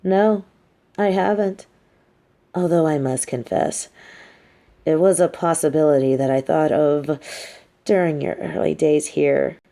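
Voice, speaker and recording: A woman's voice: deep voice, unhurried, creaky, pauses often, mellow, voice pushed down. A woman playing a smug, sardonic but sympathetic female supervillain in an audio roleplay, speaking articulately. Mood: smug, negotiating. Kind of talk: dramatic audio roleplay monologue.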